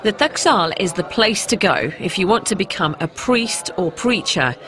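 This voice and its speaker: A woman's voice: mid-range voice, lively intonation, moderate pace, a bit bright. A woman with an English accent presenting a personal documentary, in narration and to camera. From England.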